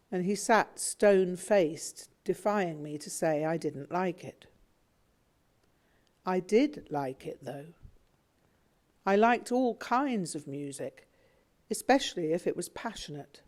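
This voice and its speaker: A woman narrating a memoir audiobook in a measured, reflective way. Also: on the low side.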